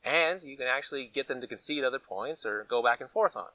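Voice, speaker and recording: A man's voice: medium pitch, fairly quick, no room sound, far from the mic. An American man from Colorado chatting casually as a vlog host, telling stories in a folksy, conversational way. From Colorado, United States. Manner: animated, folksy, conversational storytelling with acted-out asides. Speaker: host of a preparedness gear channel. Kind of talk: casual rambling vlog chat.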